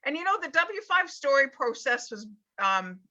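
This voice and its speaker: A woman's voice: sits high, very animated, moderate pace, bright, forceful tone, lo-fi sound. A woman hosting a live online business interview show, upbeat and asking her guest direct questions over a video call.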